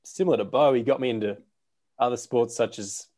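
A man's voice: mid-range voice, brisk, dark tone. An Australian man chatting in a casual interview, easygoing and a bit hesitant. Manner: easygoing, hesitant, many fillers.

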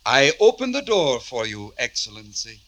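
An actor playing a sly, fearful serf informer in a 1950s radio drama, servile and pleading. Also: male voice, medium pitch, very animated, moderate pace, noisy background, close to an everyday voice. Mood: sly, insinuating. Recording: vintage radio recording.

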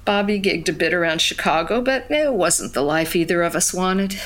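A woman's voice: fairly low pitch, expressive, normal speed, soft background music, natural voice. An actress playing a wistful woman who narrates memories of her lost love in a reflective, plain-spoken way. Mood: wistful, regretful. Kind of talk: first-person dramatic storytelling.

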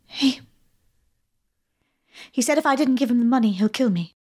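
An actress playing a frightened but witty young widow in a radio drama, with wry, quick retorts. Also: female voice, fairly high pitch, expressive, darkish tone. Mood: anxious, upset.

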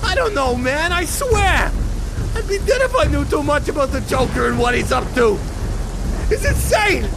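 An actor playing a cowardly street thug who whines and pleads in a frantic, gravelly voice. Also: male voice, very high-pitched, big pitch swings, moderate pace, noisy background, full character voice. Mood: terrified. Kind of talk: audio drama acting. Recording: loud rain and thunder behind.

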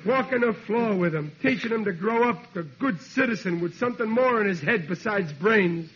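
A man playing an excitable, dim but soft-hearted working-class father, blustering theatrically in 1940s radio sitcom style. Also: high voice, normal speed. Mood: self-pitying. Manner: exasperated, theatrical, ungrammatical bluster. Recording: noisy muffled vintage radio recording.

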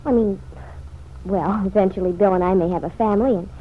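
An actress playing a playful, eager young American wife in an old-time radio serial. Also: female voice, medium pitch, fairly even intonation, a little fast, close to an everyday voice. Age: young adult. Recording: muffled, noisy vintage radio recording.

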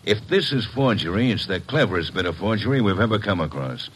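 Male police inspector, vintage radio: gruff, blunt, raspy low voice, colloquial delivery. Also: animated, a little fast. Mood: matter-of-fact. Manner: blunt, colloquial, matter-of-fact. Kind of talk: old-time radio crime drama acting.

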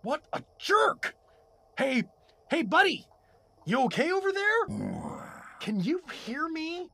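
A man's voice: very high-pitched, wildly swooping pitch, takes it slowly, vocal fry, pushed and bright, exaggerated voice. A man playing an exasperated, blustering everyman, a reluctant hero trapped in a comedic fantasy audio drama, indignant and incredulous.

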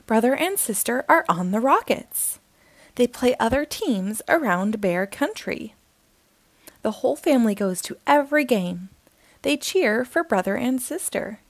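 A woman hosting a storytime, reading a children's picture book aloud slowly and expressively. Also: a little high, dry recording. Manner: slow, expressive, sing-song reading.

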